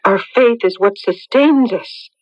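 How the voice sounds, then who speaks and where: A woman's voice: mid-range voice, takes it slowly, no echo, lightly acted. An actress playing a worried, devout middle-aged mother who pleads earnestly in an old radio drama. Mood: pleading. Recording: dull, dry old radio recording.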